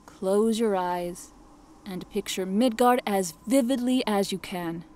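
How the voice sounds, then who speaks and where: A woman playing a composed, knowing goddess who guides others calmly in an audio drama. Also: mid-range voice, takes it slowly, lightly acted. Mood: calm, instructive. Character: composed, knowing goddess, gently guiding mentor.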